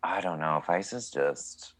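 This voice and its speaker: A man's voice: low-pitched, slow, fairly bright tone, husky, no room sound. A young man from Canada, a country singer, answering interview questions in a chatty, candid way with many fillers.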